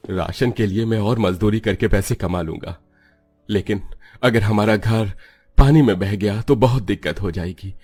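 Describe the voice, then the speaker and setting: A man's voice: fairly low pitch, normal speed, darkish tone, husky, faint music behind. A man playing a tired, kindly poor village father and labourer in a cartoon story. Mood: practical, urgent. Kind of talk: Hindi cartoon dialogue.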